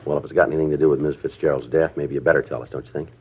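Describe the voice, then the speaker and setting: A businesslike police detective from a radio crime drama. He has a very deep voice and moves along quickly in clipped, deadpan sentences. The sound is dull and dated, like an old broadcast. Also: slightly rough, exaggerated voice. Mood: flat, courteous. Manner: deadpan, clipped, matter-of-fact. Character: terse, polite, businesslike police detective narrating his case. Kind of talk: old-time radio drama acting and narration. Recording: muffled vintage radio recording.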